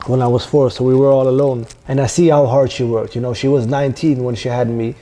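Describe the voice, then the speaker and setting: Thirtysomething guy from sweden, mellow voice, thoughtful, restarts a lot, lapel mic. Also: mid-range voice, little pitch movement, fast talker. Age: 30s. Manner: earnest, reflective, frequent restarts. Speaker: guest, football player. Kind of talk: candid sit-down interview. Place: dim studio lounge with sofa.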